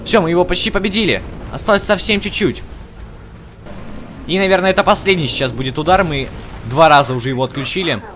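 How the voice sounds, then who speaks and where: A man doing casual, slangy let's-play commentary, excitedly urging the game's characters on as he plays. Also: on the high side, quick pace, a little hiss or hum. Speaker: gaming channel host. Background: game music and effects.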